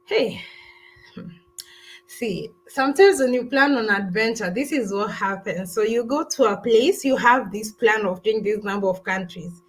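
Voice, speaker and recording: A woman's voice: a little high, a little slow, faint music behind, poor audio. A woman hosting a travel live stream, chatting casually and rambling through viewer comments with lots of restarts and "I don't know". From Kenya.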